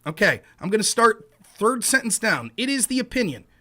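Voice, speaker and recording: A man hosting a heated debate, arguing his point and reading legal text aloud in a measured way. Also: high-pitched, sing-song, bright, forceful tone. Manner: argumentative, reads legal text aloud deliberately. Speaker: show host.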